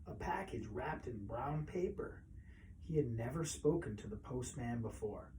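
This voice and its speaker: Male storyteller for a children's picture book read-aloud, mellow mid-pitched voice, expressive phrasing, some noise behind. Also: unhurried, low-quality recording.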